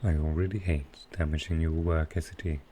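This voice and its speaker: Guy doing a super deep smooth villain for asmr, flirty and soft spoken, unhurried, bit of noise behind him. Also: level tone. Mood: wry, resigned. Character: smooth, flirtatious male supervillain courting his tailor. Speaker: voice actor in audio roleplay. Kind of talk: intimate ASMR roleplay.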